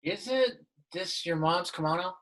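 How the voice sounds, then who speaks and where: A man playing a mild, sensible but bewildered suburban dad in a sitcom table read, speaking in a hesitant, halting way. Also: high-pitched, expressive, fairly bright tone.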